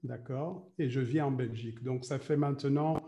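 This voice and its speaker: A man's voice: medium pitch, steady tone, normal speed, vocal fry, dark tone, roomy sound. A man in his fifties, from Rwanda, leading an online training workshop, hesitant with many fillers as he talks directly to participants. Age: 50s. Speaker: trainer and coach. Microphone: video call.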